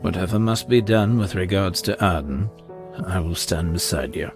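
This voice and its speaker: A man playing a calm, loyal companion in an audio drama, with formal, measured and earnest delivery. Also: deep voice. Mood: earnest devotion. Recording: music bed under the voice.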